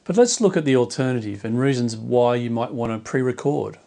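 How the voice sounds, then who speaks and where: A man who runs a church media team, delivering a scripted explainer video in a clear, measured way. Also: medium pitch, animated, dark tone.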